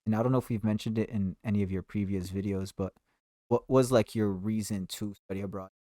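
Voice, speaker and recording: A man's voice: on the low side, steady tone, a little fast, dark tone, no room sound, studio quality. A young man co-hosting a podcast, asking questions in a curious, easygoing way and often laughing along. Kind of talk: casual chat.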